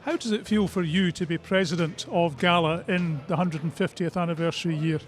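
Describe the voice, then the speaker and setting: High-voiced male interviewer. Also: fairly even intonation, fairly quick, faint music behind. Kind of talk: post-match interview.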